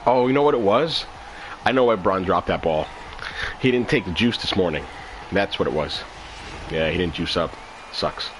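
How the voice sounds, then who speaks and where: A man's voice: deep voice, expressive, moderate pace, long pauses, background noise. A man chatting casually and joking while playing a baseball video game. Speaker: gameplay host. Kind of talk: casual gameplay commentary.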